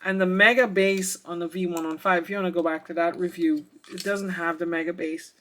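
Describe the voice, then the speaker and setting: Bright-voiced casual radio reviewer. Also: medium pitch, fairly even intonation, a little fast, a little hiss or hum. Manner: hesitant, thinking aloud. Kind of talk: casual product demonstration.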